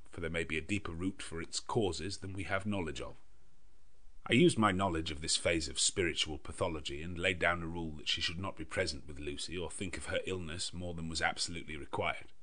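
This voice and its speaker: Very deep-voiced male audiobook narrator. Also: pushed and bright. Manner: measured, literary storytelling. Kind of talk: scripted narration of a gothic novel.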